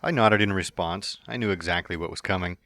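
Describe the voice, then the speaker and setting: A man's voice: sits low, a little fast, a bit bright, no room sound, clean studio sound. A man reading a fan story aloud as narrator, with playful, smug first-person asides.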